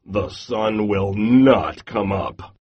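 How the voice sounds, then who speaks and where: A man voicing a big boastful male bear, speaking in slow, deep brags. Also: slightly rough, highs cut off, exaggerated voice.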